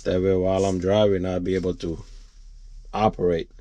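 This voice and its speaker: A man giving a casual, unscripted how-to for a vlog, rambling and thinking out loud as he works. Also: deep voice, flat delivery, normal speed. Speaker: vlogger showing a DIY truck installation.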